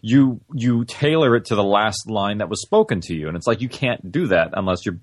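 A man's voice: on the low side, fairly quick, no echo, studio quality. A man being interviewed on a podcast, talking casually in a loose, rambling way with many fillers. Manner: relaxed, rambling, heavy use of "like". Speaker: podcast guest, audio drama creator.

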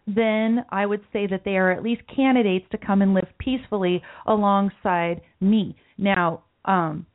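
A woman's voice: mid-range voice, fairly even intonation, measured pace. Light-voiced woman host on a tinny line. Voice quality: light voice she calls mousy. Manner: earnest, repeats words while thinking aloud. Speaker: podcast host. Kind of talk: political talk show discussion. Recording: phone-like lo-fi audio.

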